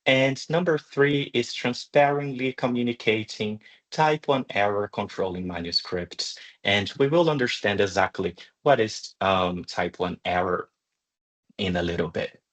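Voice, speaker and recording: A man with a fairly low voice leads an online webinar. He explains things in a measured lecture style, pausing hesitantly as he goes. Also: a bit bright. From Brazil. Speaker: webinar presenter, journal deputy editor. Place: online video call.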